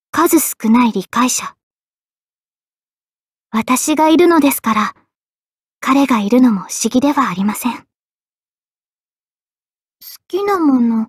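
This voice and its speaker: A woman playing an earnest, polite, quietly self-deprecating young female mage in expressive anime game voice lines. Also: high-pitched, dry recording, studio quality, full character voice. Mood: quiet, reflective, then bright, modest. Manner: polite, expressive, shifting between excited and subdued.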